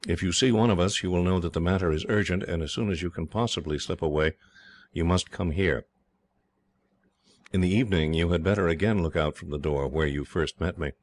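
A hearty young English officer talks in a very deep, steady voice, as a man reads him. He sounds cheerful and reassuring, laying out plans calmly like a storybook hero. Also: a little fast, dry recording.

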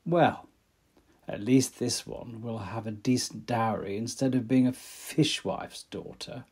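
A man plays a long-suffering artist friend in a period audio drama. His voice sits at medium pitch, with a wry, teasing, gentlemanly delivery. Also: slow, husky. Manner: dry, teasing.

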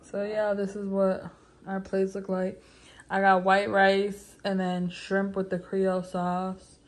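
A woman's voice: medium pitch, completely flat delivery, takes it slowly, poor audio. A young woman vlogging casually to camera in an informal, slangy, rambling way. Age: young adult. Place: parked car, then home kitchen. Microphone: phone held at arm's length.

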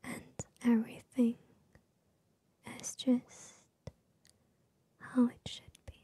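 A young woman giving soothing ASMR affirmations in a soft, breathy whisper, gentle and repetitive, very close to the microphone. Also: on the high side, monotone, takes it slowly, dry recording. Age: young adult. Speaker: ASMR host. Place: small bedroom with gaming setup, indoors. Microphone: large microphone very close to the mouth.

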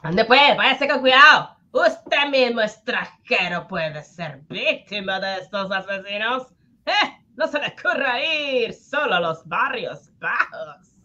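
A woman's voice: on the high side, expressive, takes it slowly, sharp tone, dull audio, exaggerated voice. A woman playing an impatient, scolding male police colonel in an amateur comic-book radio drama. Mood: exasperated. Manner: exclamatory, scolding, theatrical.

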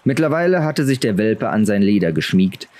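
Male audiobook narrator, lowish register, scripted fantasy prose. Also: very animated, moderate pace, darkish tone.

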